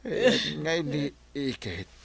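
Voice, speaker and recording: A man voicing a blustering middle-aged village captain in a radio comedy drama, his delivery excitable and up and down. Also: fairly high pitch, slow pace, creaky, slightly rough, exaggerated voice. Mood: distraught.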